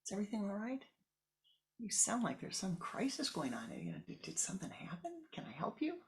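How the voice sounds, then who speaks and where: A storyteller voicing a calm, kindly yogi neighbor who asks gentle, soothing questions. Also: medium pitch, a little fast, creaky, pitched above the performer's own voice. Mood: concerned.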